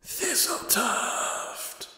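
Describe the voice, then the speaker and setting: A man voicing an ancient, all-knowing river spirit in a storybook reading, with a dusty, wheezy whisper, slow grandiose ominous delivery and echoing reverb. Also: bright, forceful tone, full character voice. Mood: ominous, tempting. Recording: cavernous echo, long reverb.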